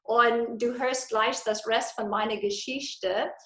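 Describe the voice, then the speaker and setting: A woman's voice: a little high, fairly even intonation, slow pace, bright, forceful tone, echoey room. A woman from Texas with an American accent, a native English speaker presenting a live business webinar in imperfect German, slipping into English phrases with enthusiasm. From Texas, USA. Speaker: business coach. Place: her home, indoor.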